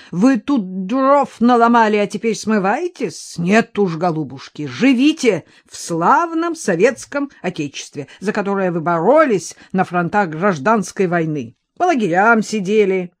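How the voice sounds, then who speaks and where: A woman's voice: fairly low pitch, big pitch swings, measured pace, darkish tone. A woman voicing a bitter older man who talks harshly, sarcastically and in angry bursts.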